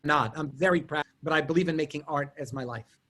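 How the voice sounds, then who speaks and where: A man whose first language is German, telling stories and answering questions on a video call, quick and digressive with frequent restarts. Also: fairly high pitch, fairly bright tone. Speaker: guest artist and lecturer. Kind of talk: answering questions in an online talk.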